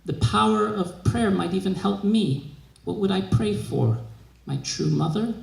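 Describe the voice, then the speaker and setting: Creaky-voiced man reading a story. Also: sits high, measured pace, a little mellow. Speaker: literary reader. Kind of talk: expressive scripted narration of a short story. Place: live reading in a museum room. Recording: echoey room.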